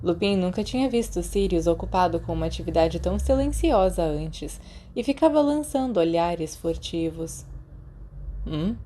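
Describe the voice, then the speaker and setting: A woman's voice: on the low side, mellow, slight background noise. A woman with a Brazilian accent reading scripted story narration as a podfic narrator.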